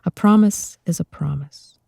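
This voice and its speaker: A woman's voice: on the low side, unhurried, gravelly, mellow, no echo, clean studio sound, heavily acted. A woman voicing a small, anxious male hobbit who tries to sound bold and cheerful, in an ASMR storybook reading. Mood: nervous bold.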